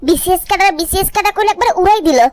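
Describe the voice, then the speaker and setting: A woman's voice: extremely high pitch, racing. A woman voicing an envious, gossipy young friend in a cartoon, with catty, colloquial talk. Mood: gloating, astonished. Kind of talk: cartoon voice acting.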